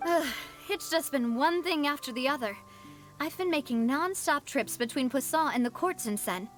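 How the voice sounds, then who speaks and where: Game heroine: self-assured young lady, breezy and cheerful in temper, high-pitched, over soft music. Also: lively intonation, moderate pace. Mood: reflective.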